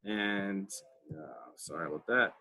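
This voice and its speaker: A man with a bright, forceful voice and a slightly low pitch runs an online advising class from his computer mic. He talks casually, leans on fillers and keeps asking "right?" to check in with his listeners. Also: expressive, slow. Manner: conversational, many fillers, frequent "right?" checks. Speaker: college advising workshop presenter. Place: online video call.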